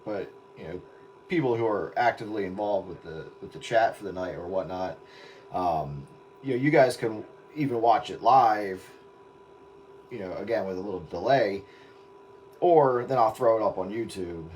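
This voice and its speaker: A man with a fairly low voice talks casually as the host of an online group night. His manner is informal and explanatory, and there is faint noise behind him. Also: moderate pace, a little mellow, rough recording. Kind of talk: casual chat.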